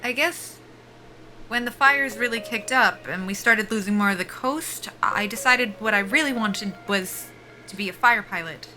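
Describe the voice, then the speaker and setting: An actress playing a guarded young soldier-pilot at a job interview in an audio drama, politely formal and clipped, with dry humor. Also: female voice, medium pitch, moderate pace, bright, forceful tone, slight background noise, natural voice, low-quality recording. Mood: wistful, earnest. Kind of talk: naturalistic audio drama acting.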